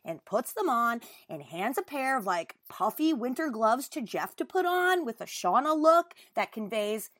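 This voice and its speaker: A woman's voice: a little high, big pitch swings, normal speed, hardly any pauses, a bit bright, dry recording, studio quality. A woman guest reader giving a dramatic reading of a listener's email.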